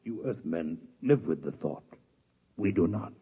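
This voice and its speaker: A man playing a calm, formal male alien doctor from another planet in a 1950s radio drama, speaking with precise, unhurried diction. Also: sits low, slightly rough, full character voice. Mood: measured. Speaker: visiting alien scientist. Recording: dull lo-fi 1950s radio broadcast.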